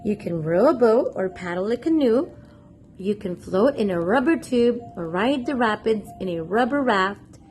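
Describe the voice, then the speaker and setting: A woman's voice: medium pitch, lively intonation, normal speed, a little mellow, slight background noise. A woman hosting a children's read-aloud, reading a picture book expressively and putting questions to young listeners. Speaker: children's read-aloud storyteller and channel host.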